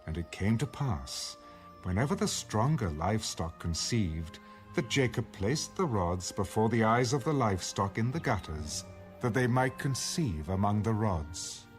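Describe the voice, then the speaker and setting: A man narrating scripture for a dramatized audio Bible in a measured storytelling manner. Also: on the low side, lively intonation. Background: background music.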